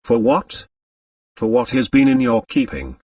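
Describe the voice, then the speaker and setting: A man voicing a shrewd, mercenary Russian woman in an audiobook, composed and measured, showing little emotion. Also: mid-range voice, wildly swooping pitch, vocal fry, a little mellow, no room sound, clean studio sound, recorded from a distance, exaggerated voice. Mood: reflective. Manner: measured, composed, matter-of-fact.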